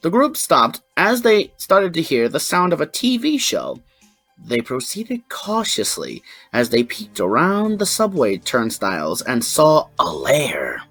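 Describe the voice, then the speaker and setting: Low, husky, lively woman narrator. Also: measured pace. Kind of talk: scripted fan fiction narration. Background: background music.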